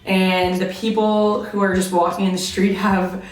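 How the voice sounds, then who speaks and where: A woman's voice: mid-range voice, level tone, a little slow, soft background music. A woman in her twenties from New York, an exchange student giving earnest, casual interview answers filled with "like". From Queens, New York, USA. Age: 20s. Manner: earnest, fills with "like". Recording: echoey room, mic far off.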